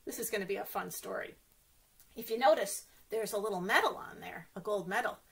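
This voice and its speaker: A woman doing a children's story time, animated and sing-song, speaking straight to young listeners and asking them questions. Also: medium pitch, brisk, vocal fry. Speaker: children's librarian reading stories to kids.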